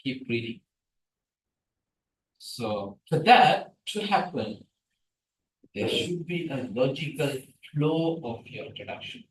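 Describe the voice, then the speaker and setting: A middle-aged man whose first language is Tamil gives a guest lecture on scientific writing. He is animated and keeps asking the audience questions. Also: mid-range voice, slow, pauses often, slight background noise. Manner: animated, asks the room questions. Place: seminar room, hybrid session. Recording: echoey room from a distance.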